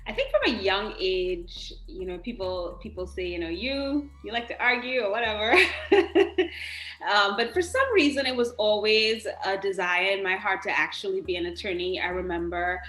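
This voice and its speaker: A woman's voice: mid-range voice, normal speed, a bit bright. A woman giving conversational interview answers as a podcast guest in a roomy, echoing space. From Trinidad.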